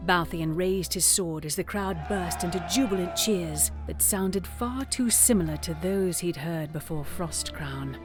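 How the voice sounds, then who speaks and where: A solemn woman as storyteller narrates a fantasy epic with measured drama. Her voice is a little low, and music plays clearly behind her. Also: natural voice. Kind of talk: scripted narration for audio drama.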